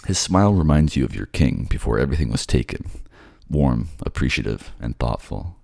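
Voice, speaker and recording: A man narrates a scripted ASMR roleplay in a slightly sleepy voice. It is very deep, with a lot of fry, and the recording is close and dry. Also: sing-song, brisk, a little mellow, dry recording.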